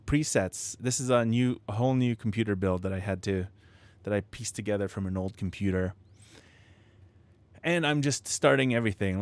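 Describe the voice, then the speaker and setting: A man's voice: on the low side, darkish tone, no room sound. A man hosting a live stream from his home setup, chatting casually to viewers with lots of restarts and fillers, close to his microphone. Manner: rambling, many restarts and fillers, chuckles often. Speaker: livestream host building model kits. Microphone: close studio microphone with proximity effect.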